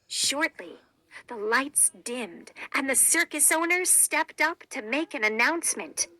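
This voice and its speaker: A woman narrating a children's read-along story in a deliberate, expressive storytelling manner. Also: sits high, no echo.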